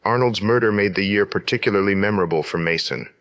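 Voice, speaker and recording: A man reading a scripted summary of a story in plain, even narration. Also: fairly low pitch, quick pace.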